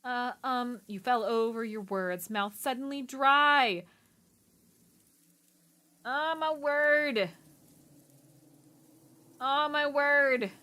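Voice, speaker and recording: A woman streaming a game, reading its text aloud and reacting in an animated, exasperated way, with a hoarse voice. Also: high-pitched, drawn-out words, long pauses.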